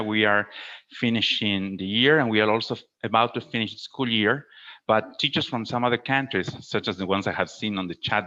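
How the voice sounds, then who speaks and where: Male webinar trainer. Forceful, bright delivery with frequent check-ins to viewers; dull online-call sound. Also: lowish voice. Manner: checks in often with "okay?". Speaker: teacher trainer, webinar presenter. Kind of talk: informal webinar lecture, addressing viewers.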